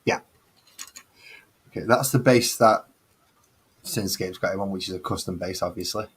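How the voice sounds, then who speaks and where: This is a Mancunian man hosting a relaxed hobby chat. His voice is slightly husky and sits low. He talks in a chatty, self-mocking way and grumbles for fun. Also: normal speed. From northern England. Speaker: host.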